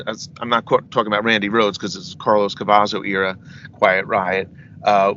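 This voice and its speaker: A man's voice: mid-range voice, bright, forceful tone, lo-fi sound, slight background noise. A middle-aged man, a metal singer, telling rambling stories as an interview guest, often asking "you know what I mean" and laughing between anecdotes. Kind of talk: casual storytelling interview.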